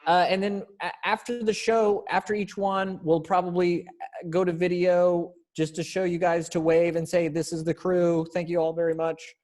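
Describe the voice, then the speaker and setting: A man's voice: high-pitched, flat delivery, moderate pace, darkish tone. A man in his thirties coaching a group over a video call in a casual, encouraging way, full of praise, as director of a rehearsal. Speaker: director and narrator of a readers theater rehearsal. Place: indoor room on a video call. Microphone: headset earphones on a video call.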